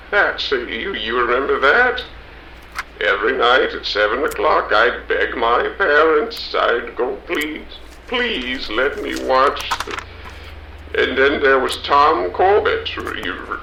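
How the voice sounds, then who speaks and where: A man's voice: medium pitch, big pitch swings, slow, gravelly, pushed and bright, echoey room, slight background noise, full character voice, poor audio. A man playing a nostalgic old small-town man who rambles and stammers fondly in an audio drama. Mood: excited, fond. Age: older.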